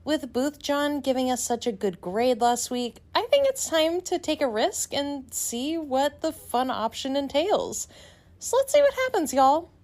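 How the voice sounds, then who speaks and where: A woman's voice: high voice, very animated, measured pace, darkish tone. A woman giving a prepared but conversational voice message as a listener, upbeat and playful.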